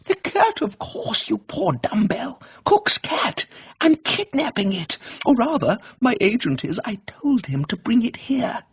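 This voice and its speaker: A man voicing a brash, excitable middle-aged English aunt, gushing and bossy, in a comic radio book reading. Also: extremely high pitch, unhurried, husky, dry recording, lo-fi sound, pitched above the performer's own voice, heavily acted. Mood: excited, impatient.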